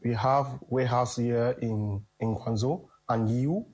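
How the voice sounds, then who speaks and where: Mid-pitched Cameroonian businessman explaining. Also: fairly even intonation, a little slow, darkish tone, poor audio. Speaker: logistics businessman being interviewed. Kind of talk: explanatory interview talk.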